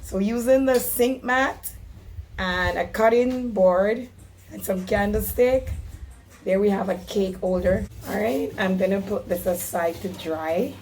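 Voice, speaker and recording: A woman, her voice hoarse and gravelly, talks through a homemade DIY project step by step. She speaks at a middle pitch and keeps an easy, chatty tone as she explains what she is doing. A faint noise hums underneath. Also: unhurried, darkish tone. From Jamaica. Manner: chatty and instructive, apologetic about her voice. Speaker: DIY crafter and caterer. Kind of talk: casual DIY tutorial.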